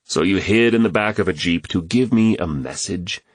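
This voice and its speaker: A man's voice: sits low, normal speed, no room sound. A man voicing a practical grown man who talks in a plain, direct way, firm but kind, recorded dry and close. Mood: patient.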